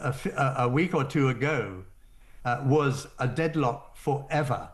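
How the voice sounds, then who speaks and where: An older man speaks as a guest on a radio talk show at an ordinary pitch. He lays out his argument slowly and formally, with the care of a seasoned diplomat. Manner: measured, formal, deliberate. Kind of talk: radio interview.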